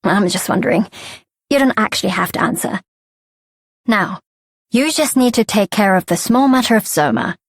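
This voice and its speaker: Game casting: female actor as breezy fairy helper, mid-pitched, candid and conversational, close dry studio recording. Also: lively intonation, normal speed, pauses often, dry recording, lightly acted. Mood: earnest, instructive. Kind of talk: scripted video game voice acting.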